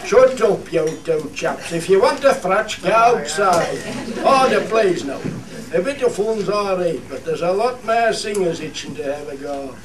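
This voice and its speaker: A man's voice: high voice, lively intonation, unhurried, crowd noise. A man acting a bossy, jovial chairman of a rural shepherds' gathering in a dialect radio play, with a Cumbrian accent. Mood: bossy, exasperated. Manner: loud, repetitive calls for order. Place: theatre studio. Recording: distant microphone, clearly noisy room.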